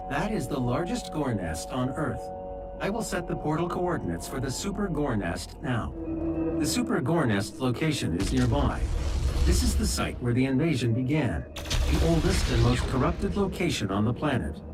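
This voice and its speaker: An actor playing a calm, factual artificial intelligence guide in a video game, speaking formally and precisely. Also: male voice, a little high. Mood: composed, businesslike. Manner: measured, formal, informative. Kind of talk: scripted game mission briefing. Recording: distant, under loud music.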